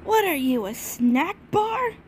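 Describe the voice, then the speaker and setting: A woman's voice: extremely high pitch, very animated, slow pace, darkish tone, a little hiss or hum, full character voice. A woman voicing a brash, hot-tempered little filly in an amateur cartoon dub. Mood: grumpy.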